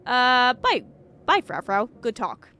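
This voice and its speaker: A woman's voice: fairly high pitch, very animated, slow, sharp tone, slight background noise. A woman streaming a game, chatting casually and reacting informally while reading dialogue aloud.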